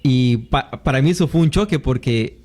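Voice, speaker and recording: A man from Costa Rica, a guest on a faith interview, giving his personal testimony in a reflective way with pauses. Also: mid-range voice, darkish tone. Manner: reflective, thoughtful storytelling with pauses.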